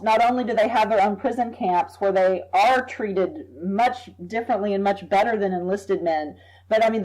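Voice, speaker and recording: A woman, a history professor, explaining at length as a podcast guest, measured and thoughtful, sometimes repeating words as she thinks. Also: medium pitch, a little mellow, rough recording. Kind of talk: expert explaining in an interview.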